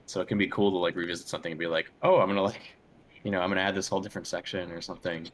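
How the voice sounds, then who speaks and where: A man chatting casually as a guest, relaxed and a little hesitant in his phrasing. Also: low voice, very fast. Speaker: interview guest, musician. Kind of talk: casual chat.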